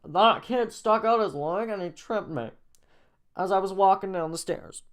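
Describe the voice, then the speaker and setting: A man voicing an upset, injured cartoon character who complains in a pained, drawn-out way. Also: sits high, fairly bright tone, clear, resonant tone, voice pushed up.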